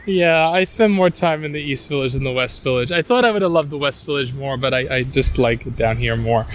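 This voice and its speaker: A man's voice: mid-range voice, fairly quick, a little hiss or hum. A man with a New York accent giving a casual walking tour outdoors on city streets, rambling enthusiastically with fillers and restarts. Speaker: tour guide.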